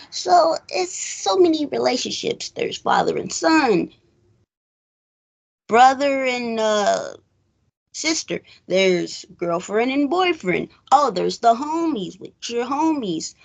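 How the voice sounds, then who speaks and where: Woman, very gravelly fry, lively pitch swings, casual co-host chat. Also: slow pace. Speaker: podcast co-host discussing films.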